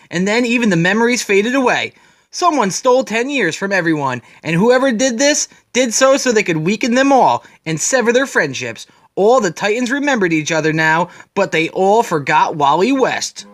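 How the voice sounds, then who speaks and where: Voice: medium pitch, big pitch swings, normal speed, fairly bright tone, no echo. A person giving a dramatic, scripted narration as a storyteller, retelling comic book scenes in the present tense.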